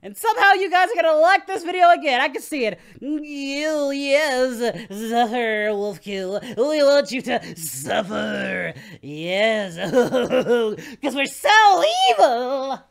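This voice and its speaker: A performer voicing an excitable young male anime hero, hosting a reaction video with melodramatic yelling and wailing. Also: high voice, slow, vocal fry, few pauses, exaggerated voice. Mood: outraged. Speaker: reaction channel host. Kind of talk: over-the-top reaction commentary. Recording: music playing behind.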